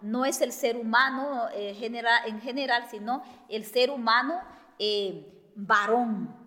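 A woman from Guatemala, giving a measured lecture with many pauses and fillers. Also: a little high, pushed and bright. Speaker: speaker. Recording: echoey hall.

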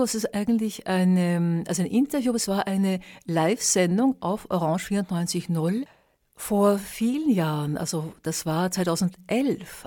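A woman hosting a radio tribute speaks in a somewhat low voice. She is earnest, piles up descriptions one after another, and often restarts a phrase midway. Her delivery stays that of a spoken presentation. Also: dark tone. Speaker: radio host.

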